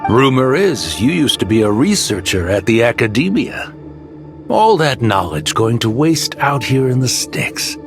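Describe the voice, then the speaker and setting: Sly pushy desert merc in a game, very animated delivery, smooth talker, bit of music. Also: male voice, mid-range voice, unhurried. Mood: sly, persuasive. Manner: smooth-talking, taunting, insistent. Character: cunning desert mercenary man, brazen, persuasive schemer. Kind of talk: scripted game dialogue. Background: background music.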